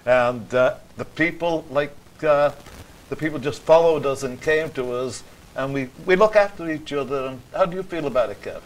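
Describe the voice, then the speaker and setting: A man's voice: a little high, normal speed, rough recording. A man hosting a casual chat, jovial and rambling, laughing easily with his guests. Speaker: host.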